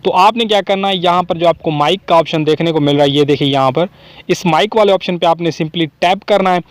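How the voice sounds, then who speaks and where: Higher-pitched man giving a casual tutorial. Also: brisk, no room sound. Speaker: host of a phone tips video channel. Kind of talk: casual app tutorial to camera and screen.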